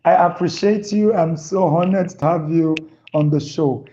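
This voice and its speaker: A man hosting a live motivational talk show, speaking slowly and deliberately. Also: on the high side, mellow, lo-fi sound. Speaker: host.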